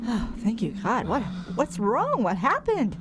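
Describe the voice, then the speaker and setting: A woman's voice: on the high side, wildly swooping pitch, measured pace, very noisy. A woman playing a worried, caring character in a horror audio drama, speaking with urgency. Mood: alarmed, concerned. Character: worried, caring woman, alarmed for her friends.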